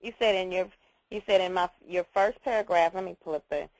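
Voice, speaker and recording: A woman's voice: fairly low pitch, fairly quick, a little mellow, no room sound, low-quality recording. A woman teacher explaining things informally on a class call, reassuring and repeating her key points. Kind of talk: informal spoken instruction.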